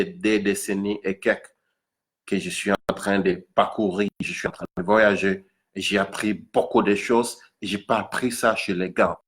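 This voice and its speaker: Slow, low-voiced Ghanaian man speaking halting French. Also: a bit bright. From Accra, Ghana. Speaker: musician presenting own single. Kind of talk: informal explanatory talk to audience.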